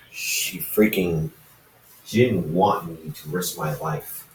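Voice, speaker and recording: A man acts out an ancient immortal hybrid from a fan story, brooding and defensive. The voice is lowish and halting, with slow phrases, in an echoey room. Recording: roomy echo, distant microphone.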